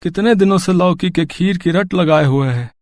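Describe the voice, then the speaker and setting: A man's voice: high-pitched, normal speed, a little mellow, dry recording, natural voice. A man voicing an earnest, kind-hearted poor rickshaw puller in a Hindi story cartoon. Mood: wistful.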